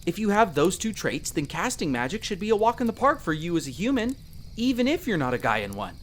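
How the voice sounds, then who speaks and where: A performer voicing a young male elf explorer in a roleplay audio drama, patiently and gently coaching the listener as a magic teacher. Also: mid-range voice, very animated, a little fast, a little hiss or hum, lightly acted. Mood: upbeat, instructive. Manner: gentle, reassuring, coaching step by step.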